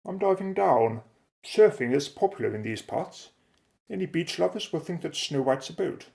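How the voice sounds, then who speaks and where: A man's voice: fairly high pitch, animated, normal speed, vocal fry, darkish tone. An actor playing a bold, decisive male explorer in a radio drama, giving clipped, matter-of-fact orders. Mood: urgent, decisive.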